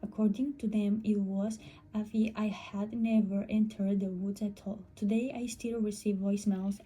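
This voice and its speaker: Dark-voiced woman narrating horror. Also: medium pitch, little pitch movement, normal speed, slightly rough. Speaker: storyteller. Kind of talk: scripted horror story narration.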